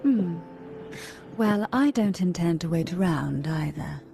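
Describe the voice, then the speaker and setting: Low-voiced, sly, aloof matriarch. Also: female voice, animated, creaky, music in the background. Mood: sly, teasing. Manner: aloof, teasing, deliberate. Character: sly, poised matriarch of a powerful family. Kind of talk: scripted video game dialogue.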